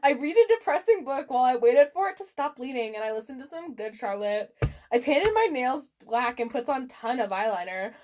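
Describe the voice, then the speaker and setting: A woman with a fairly high voice reads a story aloud for comic effect. Her delivery is theatrical and giggly, as a dramatic reading among friends. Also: animated, brisk, a little mellow. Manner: giggly, theatrical, often breaks into laughter. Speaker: reader of a fan fiction.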